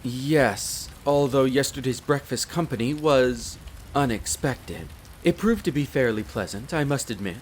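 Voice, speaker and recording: Courteous castle butler , male actor, mid-pitched, measured and deferential, audio roleplay, light rain noise. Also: lightly acted. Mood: courteous, pleased. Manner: formal, polite, measured, deferential. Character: courteous, devoted demi-human butler in a vampire's castle. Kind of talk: scripted roleplay addressed to the listener. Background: steady rain of a storm.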